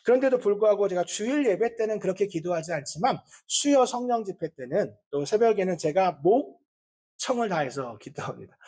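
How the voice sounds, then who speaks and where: A male pastor giving a Sunday sermon in an earnest, measured way, with a voice he calls not strong and easily strained. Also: sits high, a little mellow. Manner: earnest, with measured pauses and frequent fillers.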